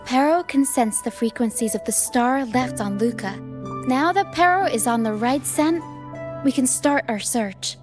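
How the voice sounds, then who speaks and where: A woman's voice: sits high, measured pace. A woman voicing a hopeful, whimsical young girl in a video game, earnest and musing. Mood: reassuring. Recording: music behind the voice. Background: music.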